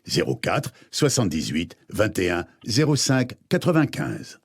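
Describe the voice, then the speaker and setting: A man's voice: on the low side, sing-song, measured pace, vocal fry, slightly rough. A man voicing a radio station promo with dramatic, sweeping delivery over music. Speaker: station announcer.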